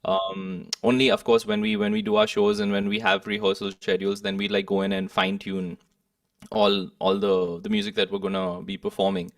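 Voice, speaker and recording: A man's voice: sits low, lively intonation, normal speed, creaky, studio quality. A young man from India, speaking hesitantly and thoughtfully as a musician being interviewed. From Kolkata, India. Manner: hesitant, thoughtful, hedging phrasing. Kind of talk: reflective interview conversation. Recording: echoey room, distant microphone.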